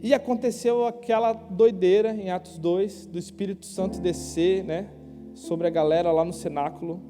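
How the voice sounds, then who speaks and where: A young man preaching a casual youth sermon in a church, slangy and conversational, asking the crowd quick questions. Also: high-pitched, steady tone, music in the background. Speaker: youth pastor. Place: church hall. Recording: live church hall with long reverb.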